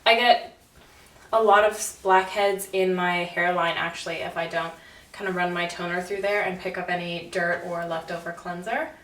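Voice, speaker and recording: A woman's voice: on the low side, steady tone, moderate pace, fairly bright tone. A young woman, a bit stuffed up and congested, chatting casually to camera in a self-deprecating, laughing way as a beauty vlogger at home. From Canada. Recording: roomy, slightly distant audio.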